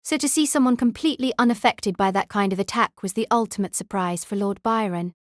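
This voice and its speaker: A woman's voice: mid-range voice, brisk, dry recording, studio quality. A woman narrator reading a scripted story aloud as an audiobook.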